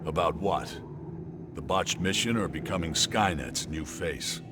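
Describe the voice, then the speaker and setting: An actor playing an intimidating, dryly sardonic resistance soldier in a video game. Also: male voice, deep voice, measured pace, noisy background. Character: intimidating male resistance soldier, dry and grumbling. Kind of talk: video game cinematic acting. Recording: loud music behind the voice.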